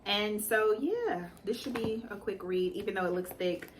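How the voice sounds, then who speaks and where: A woman's voice: medium pitch, moderate pace, noisy background. A woman co-hosting a casual book chat in a playful, teasing manner. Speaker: co-host of a book channel.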